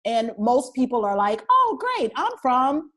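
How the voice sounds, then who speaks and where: A woman's voice: high voice, big pitch swings, measured pace, fairly bright tone. A woman co-hosting a candid conversation, building her point step by step. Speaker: co-host.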